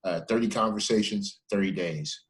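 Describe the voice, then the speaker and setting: A man hosting a casual podcast interview. His voice sits on the low side, and he sounds friendly and appreciative. He laughs easily with his guest. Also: normal speed. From Texas. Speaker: podcast host.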